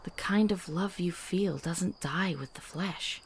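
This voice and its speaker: A woman playing a kind, tactful traveling companion in a visual novel, gentle and earnest. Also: fairly low pitch, slow pace, a little hiss or hum. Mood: earnest, consoling.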